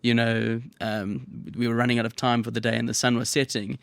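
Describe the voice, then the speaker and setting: A man's voice: medium pitch, flat delivery, fairly quick, vocal fry, a bit bright, clean tone, dry recording. A man from South Africa, a film director, talking reflectively in a casual podcast interview. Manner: reflective, many fillers.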